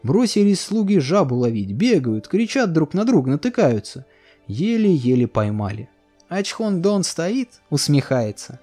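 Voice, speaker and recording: A man narrating a fairy tale for a cartoon in a sing-song, expressive storytelling manner. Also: fairly high pitch, unhurried. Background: faint music.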